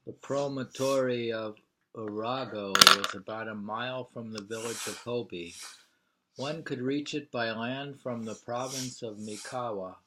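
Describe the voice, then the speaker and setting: A man reading poetry and travel prose aloud informally, in a halting, deliberate way. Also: mid-range voice, background noise. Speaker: reader of a poetry travel sketch.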